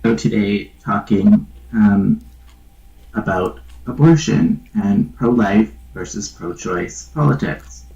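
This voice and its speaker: Congested, nasal man with a gravelly voice. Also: slow pace, warm tone, roomy sound. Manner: rambling, low-energy, full of ums. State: congested, a bit sick. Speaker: channel host. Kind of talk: casual opinion monologue. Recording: dull lo-fi recording.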